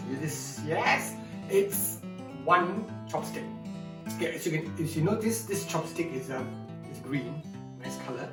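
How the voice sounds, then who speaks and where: Playful storyteller man, lively pitch. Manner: lively and playful, leading the audience in movement games. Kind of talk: interactive storytelling for an audience. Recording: echoey room, distant mic, guitar music.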